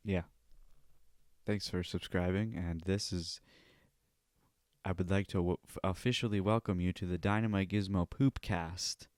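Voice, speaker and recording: A man hosts a podcast alone, rambling crude jokes and laughing at himself. He speaks slowly, and his voice is smooth and clear. The sound is dry and close, with no room around it. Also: sits low, steady tone, darkish tone, dry recording. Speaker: podcast host. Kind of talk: rambling comedic solo podcast. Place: home studio.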